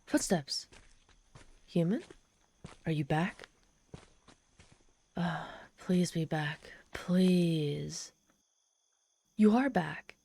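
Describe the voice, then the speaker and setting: A woman's voice: fairly low pitch, drawn-out words, gravelly, long pauses, dark tone, husky, heavily acted. A woman playing a proud, lonely, desperate and socially awkward demon in a dramatic audio roleplay, haughty yet pleading. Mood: hopeful, relieved. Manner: haughty yet pleading, theatrical sighs and gasps. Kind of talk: dramatic ASMR roleplay storytelling.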